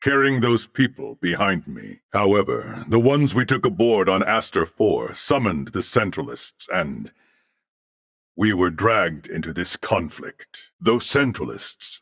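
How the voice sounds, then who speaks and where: An armored cyborg man from a video game, courteous and solemn. His low voice has a gravelly creak and moves through expressive rises and falls. Every phrase is formal and measured, with noble earnestness. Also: no room sound, studio quality, exaggerated voice. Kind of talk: video game character voice acting.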